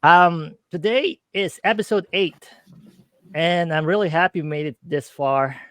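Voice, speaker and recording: A man's voice: fairly high pitch, measured pace, fairly bright tone, no room sound. A middle-aged man with a Filipino accent, co-hosting a casual streamed talk show in an upbeat manner with frequent fillers. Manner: upbeat, hesitant with many fillers. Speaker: podcast co-host. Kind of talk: casual chat.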